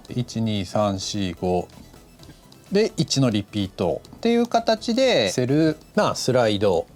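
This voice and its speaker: A man teaches in a casual, instructive way, walking through each step. His intonation moves up and down freely, keeping the explanation lively. Music plays behind his voice. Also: medium pitch. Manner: instructive, demonstrating step by step, counting aloud. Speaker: animation director teaching a lesson. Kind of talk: casual explanatory tutorial talk. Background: background music.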